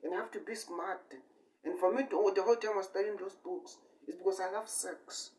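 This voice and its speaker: Young man, high-voiced, distant mic. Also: steady tone, a little mellow. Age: 20s. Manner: halting short phrases, repetitive, emphatic bursts. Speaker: amateur online speaker. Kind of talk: rambling improvised monologue. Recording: distant microphone.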